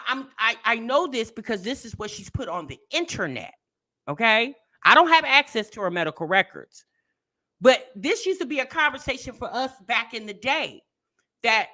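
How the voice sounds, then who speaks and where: A woman's voice: high-pitched, bright, forceful tone. A woman hosting a reaction channel, chatting casually to her viewers, talking straight at them and repeating herself for emphasis.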